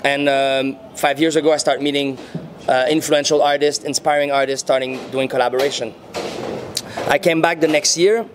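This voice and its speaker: A man in his thirties speaks English with a Quebec French accent, French being his first language. He talks slowly and thoughtfully, with many ums, into handheld mics at an indoor venue. Faint noise hangs behind him. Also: on the high side, fairly even intonation. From French-speaking Canada. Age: 30s. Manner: unhurried, searching for words, frequent fillers. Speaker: interviewee, muralist and rapper. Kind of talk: casual interview answers. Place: indoor venue before a painted backdrop. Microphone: handheld mics held near the chin.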